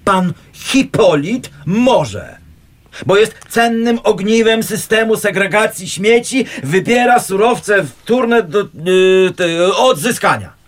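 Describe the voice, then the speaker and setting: A blustering caretaker with swooping pitch. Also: male voice, expressive, slow pace. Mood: bossy, dismissive. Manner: colloquial, blustering, officious. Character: bossy, grumbling, self-important male housing-estate caretaker. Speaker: actor in a radio play. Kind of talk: naturalistic radio drama acting.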